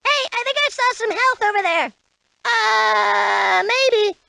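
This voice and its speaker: A tiny cartoon rat for a video game, with an extremely high, squeaky voice. It is eager and cheerful, delivers lines slowly with big swoops and often pushes into a shout. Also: expressive, vocal fry, dry recording, exaggerated voice. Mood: earnest.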